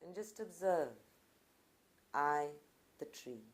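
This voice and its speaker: Slow, low-pitched woman guiding meditation. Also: lively intonation. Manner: slow, deliberate, pausing between short phrases. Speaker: meditation guide. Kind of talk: voice-guided group meditation. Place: live group session at a centre.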